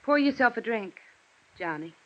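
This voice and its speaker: A woman's voice: on the high side, lively intonation, moderate pace, loud music behind the voice, close to an everyday voice. Woman playing a guarded widow, muffled vintage radio. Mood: softened, cooperative. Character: guarded, strained, defensive young widow under suspicion. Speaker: actress in a radio detective serial. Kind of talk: 1950s radio drama acting. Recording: dull vintage radio recording.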